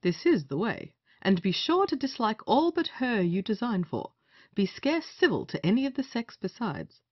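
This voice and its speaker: A woman's voice: a little high, sing-song, normal speed, dry recording, muffled recording. A woman playing a sardonic male gallant in a period stage comedy, wry and teasing with a companion.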